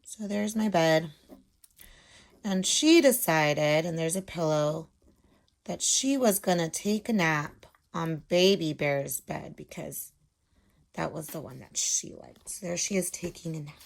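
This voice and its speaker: A woman's voice: low voice, expressive, measured pace, vocal fry. A woman teacher explaining things simply to young children, talking casually as she draws and adding little check-in questions. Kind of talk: casual instructional talk while drawing.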